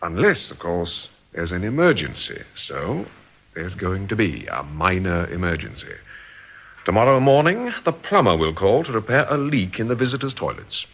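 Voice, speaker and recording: An actor playing a suave, authoritative criminal boss in a radio drama, speaking in a measured, formal, businesslike way. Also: male voice, deep voice, very animated, fairly bright tone, heavily acted. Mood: smooth, persuasive. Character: suave, authoritative male criminal boss running a scheme like a company.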